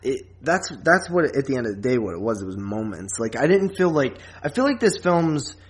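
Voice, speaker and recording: A man's voice: mid-range voice, sing-song. Guy doing a laid-out casual movie review podcast, slangy, keeps circling back on his words. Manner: informal, slangy, halting, circles back over phrases. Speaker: podcast host. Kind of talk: casual film review chat.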